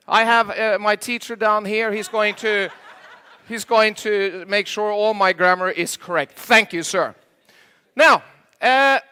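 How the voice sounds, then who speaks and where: A man's voice: high voice, sharp tone, smooth. A middle-aged man from Norway with a Norwegian accent, giving a joking stage presentation at a conference and quizzing the audience in slightly imperfect English. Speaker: conference speaker.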